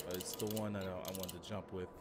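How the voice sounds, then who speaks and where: A man's voice: low voice, little pitch movement, fast talker. A man livestreaming a game, chatting casually in a laid-back, rambling way. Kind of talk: casual livestream chat over gameplay. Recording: loud engine and game ambience noise. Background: loud spaceship game ambience.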